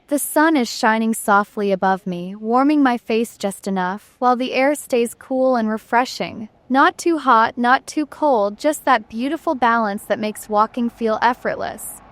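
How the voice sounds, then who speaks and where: Woman doing a travel vlog voiceover, voice a bit high, scripted and poetic, takes her time with phrases. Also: measured pace, few pauses. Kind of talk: scripted reflective travel narration.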